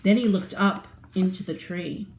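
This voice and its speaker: A woman reading a picture book aloud to young children, animated and asking them questions. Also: mid-range voice, moderate pace, a little hiss or hum. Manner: animated, asks the listener questions. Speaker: children's storyteller. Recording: roomy echo.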